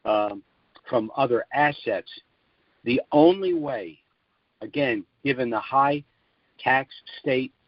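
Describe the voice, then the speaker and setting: A man presenting financial commentary on a conference call, explaining in a deliberate, formal way with some hesitations. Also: mid-range voice, no echo. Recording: dull, dry lo-fi conference call audio.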